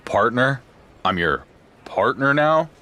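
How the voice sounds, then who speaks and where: A wry, bright-voiced wrestling champion. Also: male voice, mid-range voice, sing-song, takes it slowly, heavily acted. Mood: resentful. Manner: sarcastic, dry. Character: wry, proud male pro wrestling champion. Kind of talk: video game cutscene acting.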